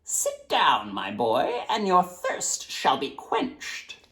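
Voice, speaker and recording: A woman as a withered old storyteller man, lively pitch. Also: very slow, exaggerated voice. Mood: inviting. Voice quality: rumbling. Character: withered, toothless old male storyteller, kindly and mysterious.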